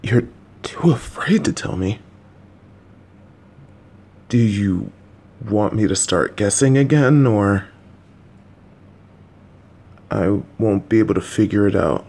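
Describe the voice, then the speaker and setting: Man voicing a middle-aged father, gentle, reassuring, unhurried, slightly low voice, jokey dad humor. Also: lively intonation, exaggerated voice. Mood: calm, coaxing. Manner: patient, coaxing, reassuring; jokey asides. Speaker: voice actor in an audio roleplay. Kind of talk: listener-directed audio roleplay.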